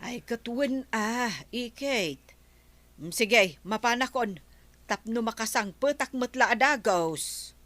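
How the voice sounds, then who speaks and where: A woman acting as a patient, peacemaking wife with an Ilocano accent. Her fairly high voice is slow and earnest, recorded dry and close in radio drama style. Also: expressive, a bit bright, dry recording. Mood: placating.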